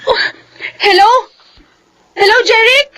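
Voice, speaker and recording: A woman's voice: extremely high pitch, slow pace, fairly bright tone, full character voice, rough recording. An actress playing an anxious, emotional young pregnant woman pleading in a radio drama. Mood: distraught, pleading. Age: young adult. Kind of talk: Filipino radio drama acting.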